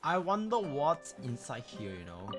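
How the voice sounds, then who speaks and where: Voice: medium pitch, sing-song, unhurried, music in the background. A game streamer commentating casually, reacting aloud with swearing and reading game text aloud.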